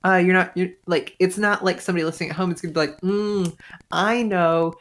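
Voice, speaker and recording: A woman talks casually on a listening stream. Her voice is slightly low, and she muses analytically, pausing and rephrasing as she goes. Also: fairly even intonation. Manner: musing, halting as she works out ideas.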